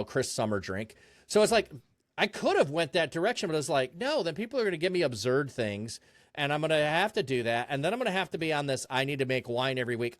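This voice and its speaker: A man's voice: medium pitch, no room sound. Easygoing chatty man, dry close mic. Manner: chatty, easygoing, self-deprecating. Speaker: streamer guest. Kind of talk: casual conversation.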